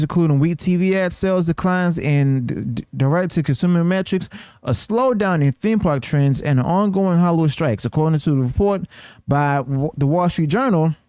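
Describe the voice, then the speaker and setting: Man, fairly high voice, dry close sound, news commentary host, halting reading, frequent self-corrections. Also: mellow, clean tone, no echo.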